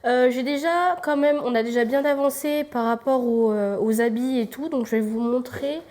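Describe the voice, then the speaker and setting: A young woman with a stuffy, cold-thickened voice chats to her camera as she shows round her home. Her voice sits a little high, and she rambles loosely, with plenty of filler sounds. It is an easygoing, homemade vlog recorded indoors. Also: moderate pace, darkish tone. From France. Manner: rambling, many fillers, gives a tour while tidying. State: congested with a cold. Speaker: vlogger. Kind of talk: casual vlog chat. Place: apartment indoors.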